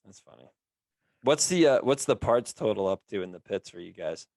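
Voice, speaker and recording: A man hosting a podcast in casual chat, teasing and firing off quick follow-up questions. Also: lowish voice, animated, darkish tone, dry recording.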